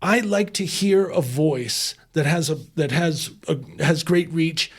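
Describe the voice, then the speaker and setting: A man's voice: on the high side, a little slow. A man guest in a conversational interview, speaking in an impassioned, emphatic way with frequent restarts. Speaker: interview guest, former chef turned humanitarian.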